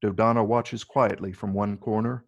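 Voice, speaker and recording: A man with a gravelly, husky voice reading screenplay stage directions as scripted narration. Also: lowish voice, steady tone, moderate pace, dull audio.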